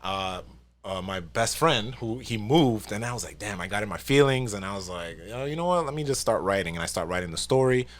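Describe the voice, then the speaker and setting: A man's voice: lowish voice, animated, a little fast. A man in his early thirties, a guest author telling the story behind his work in a loose, rambling way on a casual podcast. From New York. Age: 30s. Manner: rambling, retells his own past remarks in quotes.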